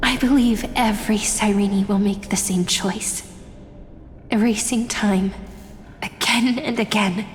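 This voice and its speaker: An actress playing a playful, wistful young heroine in a game cutscene, talking in a teasing, gentle way. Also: female voice, mid-range voice, fairly even intonation, slow, close to an everyday voice. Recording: long reverb with faint music.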